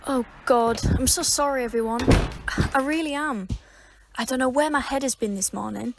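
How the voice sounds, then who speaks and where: An actress playing a prim, easily flustered club organiser in an audio drama, speaking in a brisk, clipped, humourless way. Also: female voice, high-pitched, very noisy.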